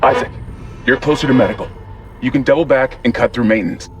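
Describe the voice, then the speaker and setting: A man's voice: medium pitch, fast talker, faint music behind, natural voice. An actor playing a stern, determined male squad commander in a video game, clipped and commanding under pressure. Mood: urgent. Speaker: security team leader. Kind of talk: dramatic video game acting.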